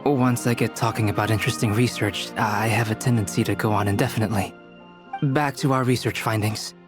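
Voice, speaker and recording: A man playing a polite, scholarly researcher in a game dub, speaking in a measured, formal, analytical way. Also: fairly low pitch, fairly even intonation, over music, natural voice. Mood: grave, absorbed. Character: polite, scholarly young male researcher absorbed in theory. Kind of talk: English video game dub acting.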